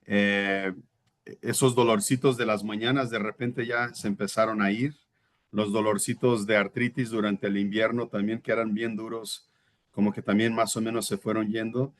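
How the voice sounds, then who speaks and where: A man in his thirties, born in Brazil and a native Portuguese speaker, tells his own story as an interview guest. His voice sits fairly low, and he talks in a reflective, earnest way. He sometimes pauses to search for the right word. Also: flat delivery. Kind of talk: personal testimony in conversation.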